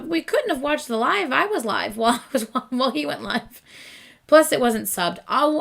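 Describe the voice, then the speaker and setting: A woman's voice: a little high, creaky, a bit bright. A young woman hosting a late-night reaction livestream, chatting casually with viewers and laughing often as her voice begins to give out. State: losing her voice. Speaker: reaction channel host.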